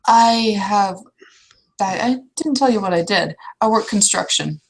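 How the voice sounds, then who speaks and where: A woman's voice: medium pitch, measured pace, husky, voice pushed up. A woman playing a blunt, kindly, world-weary female troll in a casual tabletop roleplay. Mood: hurried.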